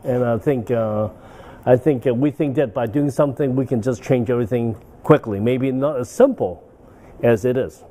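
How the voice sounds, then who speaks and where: Mellow-voiced older man lecturing. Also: medium pitch, normal speed. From Hong Kong. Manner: rambling, with frequent "uh" and "okay?" tags. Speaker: guest lecturer. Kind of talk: medical conference lecture. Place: banquet hall.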